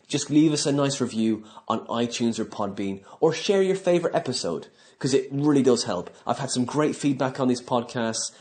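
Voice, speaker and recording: A man's voice: mid-range voice, a little mellow. A man hosting a podcast, talking in a casual, conversational way. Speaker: podcast host, stand-up comedian. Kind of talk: casual podcast interview and sign-off.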